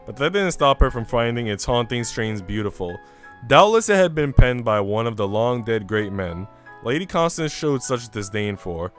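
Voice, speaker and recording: A man streaming a visual novel, switching between casual, slangy chat and reading the story aloud. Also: medium pitch. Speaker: game streamer.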